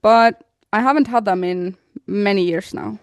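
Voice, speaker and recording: A woman's voice: medium pitch, moderate pace, no echo, studio quality. Chatty woman co-host asking rambling questions. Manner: rambling questions with many restarts and laughs. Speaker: podcast co-host. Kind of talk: casual chat.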